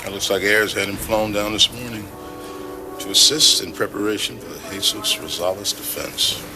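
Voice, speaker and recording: A man acts out a police detective briefing his partner in an audio drama. He is matter-of-fact, with a fairly low voice. Music plays clearly behind him. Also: normal speed, lightly acted. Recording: music under the voice.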